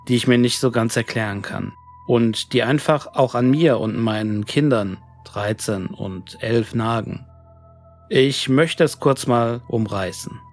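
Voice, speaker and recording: A man plays a worried widowed dad in his forties in a horror story. He is polite, sober and measured, with a mid-range voice over music. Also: medium pitch, lightly acted. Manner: earnest, measured, formal. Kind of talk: reading a letter in a horror story. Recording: music bed under the voice.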